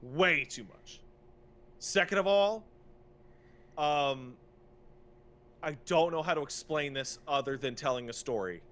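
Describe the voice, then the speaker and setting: A man's voice: a little high, lively intonation, slow pace, pauses often, fairly bright tone. A man co-hosting a podcast in a casual, opinionated rant, exasperated and emphatic, repeating phrases for effect and swearing freely. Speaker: podcast co-host.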